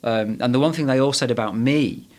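Manchester man, 40s, podcast guest; halting, thoughtful delivery. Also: mid-range voice. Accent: Manchester English. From England. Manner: hesitant, many restarts and fillers. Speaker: guest, head of a management body. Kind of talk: podcast interview.

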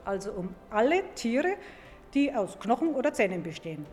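Female paleontologist, higher register, informal and emphatic explainer for young listeners, long museum reverb. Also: big pitch swings, moderate pace, darkish tone, faint music behind. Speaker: museum paleontologist, guest expert. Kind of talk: explaining science to children in an audio play.

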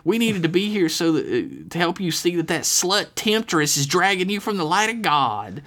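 Pious middle-aged mom, high and melodramatic, comic storytelling, played by a male narrator. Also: big pitch swings, normal speed, a bit bright. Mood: self-righteous. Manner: self-righteous, dramatic scolding. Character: shrill, pious, melodramatic middle-aged small-town mother.

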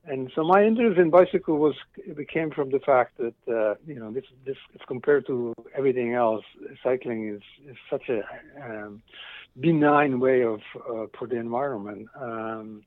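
A man's voice: mid-range voice, normal speed, dry recording, phone-quality sound. A middle-aged man with a Slovak accent giving casual, reflective interview answers in an enthusiastic, rambling way, often interrupting himself. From eastern Slovakia. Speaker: interview guest, cycling company founder.

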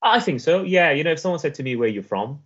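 A man from Afghanistan with a Welsh accent, a guest in an interview telling his story in an earnest, halting way with many restarts. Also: on the high side, lively intonation, fairly bright tone, breathy edge, highs cut off. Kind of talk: reflective personal storytelling.

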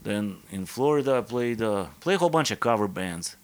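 A man in his fifties with a Russian accent, talking as a podcast guest in a halting, modest way with many fillers. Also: fairly low pitch, expressive, no room sound. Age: 50s. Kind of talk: casual interview chat.

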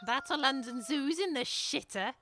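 A woman's voice: sits high, expressive, moderate pace, very noisy. A woman playing a crude, cheeky Victorian housekeeper in an audio comedy, blunt and teasing. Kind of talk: scripted audio comedy acting.

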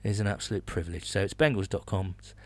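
A man's voice: deep voice, fast, a little mellow, no room sound. Man, dry close-recorded podcast chat. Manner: colloquial, colourful turns of phrase. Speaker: podcast co-host. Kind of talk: casual sports podcast chat.

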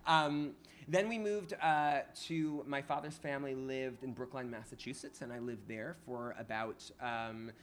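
A man from New York whose first language was Hebrew talks as a podcast guest in a mid-range voice. He is animated and chatty, restarts sentences often, and laughs easily. A faint hiss sits under the recording. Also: mid-range voice, a little slow. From New York, USA. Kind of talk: casual interview conversation.

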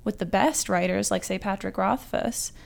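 A woman's voice: mid-range voice, moderate pace, gravelly. A woman writer talking casually about fiction as a guest on a discussion podcast.

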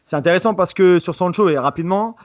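A man's voice: high-pitched, quick pace, lo-fi sound. A man who is a football journalist, giving assertive analysis on a podcast. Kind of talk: podcast discussion.

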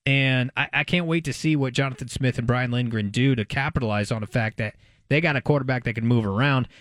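Man, American accent, medium pitch, dry studio sound, sports host, casual analysis, thinking aloud. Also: fairly quick, a bit bright, dry recording. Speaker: sports talk show host.

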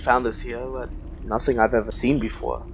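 A man's voice: mid-range voice, level tone, moderate pace, lightly acted. Muffled, tentative male investigator, a man role-playing. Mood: uneasy, puzzled. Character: Joseph, observant, helpful male investigator companion. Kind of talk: improvised tabletop role-play. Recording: dull, slightly noisy microphone.

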